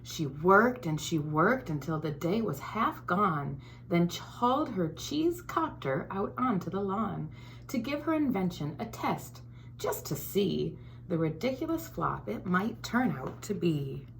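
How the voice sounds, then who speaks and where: A woman, a school board member, reading a children's picture book aloud in an expressive, sing-song storytelling manner, sometimes dropping to a whisper. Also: on the low side, measured pace, gravelly, slight background noise, poor audio.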